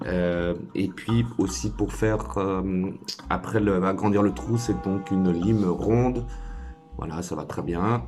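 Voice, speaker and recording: A man's voice: sits low, slow, music in the background. A man giving a casual hands-on tutorial, informal and hesitant, thinking aloud as he works. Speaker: DIY tutorial maker.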